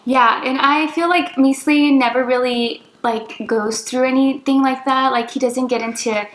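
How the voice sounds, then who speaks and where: A woman's voice: sits high, fairly even intonation, moderate pace. A woman co-hosting a vlog, telling a story in a casual, chatty way. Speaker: vlog co-host. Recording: echoey room with faint music.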